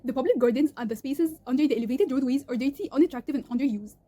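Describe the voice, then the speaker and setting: High, fast, husky young woman. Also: warm tone. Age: 20s. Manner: earnest, reads from a script. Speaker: student presenter. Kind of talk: scripted presentation.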